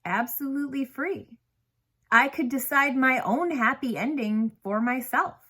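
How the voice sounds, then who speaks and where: A woman narrating a novel aloud in an expressive storytelling manner, putting emphasis on key words. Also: high voice, unhurried, slightly rough.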